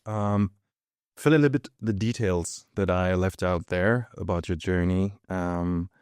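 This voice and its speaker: Hesitant low-voiced podcast host, a man. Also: measured pace, darkish tone, smooth, dry recording, clean studio sound. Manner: hesitant, many fillers. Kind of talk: podcast interview.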